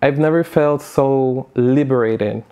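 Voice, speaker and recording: A young man from the Caribbean giving a personal testimony in a measured, reflective, explanatory way. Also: mid-range voice, mellow, clean tone. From Saint Martin, Caribbean. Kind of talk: personal life testimony to camera.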